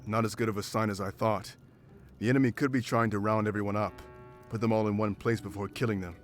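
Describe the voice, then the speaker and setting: Actor as principled male warrior, low register, grave, challenging, visual novel game, light music bed. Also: steady tone, fast talker, close to an everyday voice. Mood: wary, pondering.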